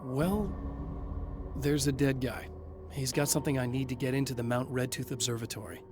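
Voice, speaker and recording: A man's voice: mid-range voice, moderate pace, noisy background, natural voice. An actor playing a tense, determined male writer who gives firm, clipped orders in a video game. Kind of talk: video game cutscene acting. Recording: game audio over music.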